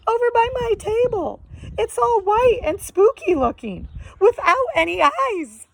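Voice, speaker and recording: A woman voicing a frightened, shrill, panicky woman in a children's storybook. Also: expressive, a little slow, vocal fry, full character voice. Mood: terrified.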